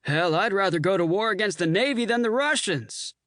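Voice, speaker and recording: A man's voice: sits high, lively intonation, brisk, fairly bright tone, no echo, clean studio sound, pitched above the performer's own voice. A man voicing a rowdy, brash Marine fighter pilot. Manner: declaring, brash.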